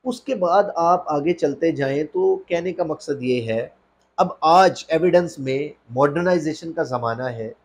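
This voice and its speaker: A man's voice: fairly high pitch, a little slow. A man with a Pakistani accent giving an explanatory legal lecture as a lawyer, mixing in English terms, in a didactic way that repeats phrases for emphasis.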